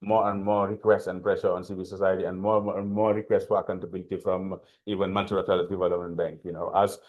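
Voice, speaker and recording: Man, Senegalese, French accent, lowish voice, halting webinar talk, video call. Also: flat delivery, narrow, tinny audio. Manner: hesitant, halting, many fillers and restarts. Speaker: panelist. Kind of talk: webinar presentation.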